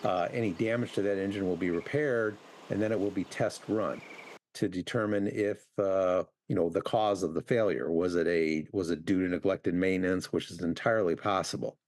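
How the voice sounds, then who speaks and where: A man's voice: low-pitched, fairly even intonation, moderate pace, a little mellow. A man, an aviation expert explaining something in an interview over the telephone. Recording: noisy phone interview line.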